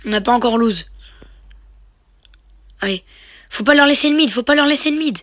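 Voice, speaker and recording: A young man commenting on his own mobile gameplay, with a sore throat and a slight cold, switching between excited slangy cheering and quiet muttering under his breath. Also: extremely high pitch, normal speed, no room sound, clean studio sound. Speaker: mobile game youtuber.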